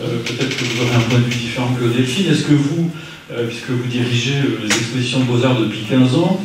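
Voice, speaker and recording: A man's voice: medium pitch, fairly quick. A man moderating a round table, carefully framing long questions for a panelist. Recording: distant mic in a reverberant hall with faint noise.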